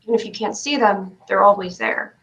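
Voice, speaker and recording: A woman's voice: mid-range voice, a little fast, vocal fry, a bit bright. A woman playing an anxious, pleading child in a staged reading of a play. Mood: wistful. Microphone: video call. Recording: muffled livestream call audio.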